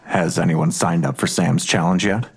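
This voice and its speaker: A man's voice: sits low, steady tone, moderate pace, gravelly, breathy edge, exaggerated voice. A man playing a guarded, terse investigator in an audio crime drama. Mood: guarded, curious. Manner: terse, questioning. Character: low-voiced male investigator, guarded and terse.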